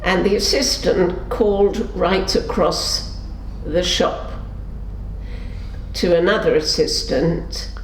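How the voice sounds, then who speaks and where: Slow-spoken older woman telling her story. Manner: storytelling, acts out quotes with pitch shifts. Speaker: interviewee. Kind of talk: oral history interview. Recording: roomy sound with faint background noise.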